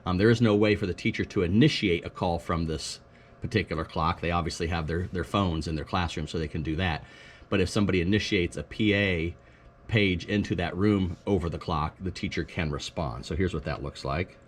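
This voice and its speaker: A man with a low voice walks through an informal product demonstration. He explains things in a matter-of-fact way, like a staff member presenting to colleagues. There is faint noise in the background of the recording. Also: a little fast. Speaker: school technology staff presenter.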